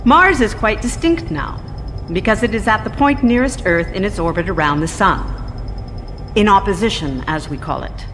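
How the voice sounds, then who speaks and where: A woman playing a composed, skeptical astronomy professor in a radio drama, speaking in a measured, precise, formal way. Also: mid-range voice, animated, a bit bright, close to an everyday voice. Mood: measured, observant. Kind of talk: radio drama interview acting. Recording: long hall reverb, faint noise.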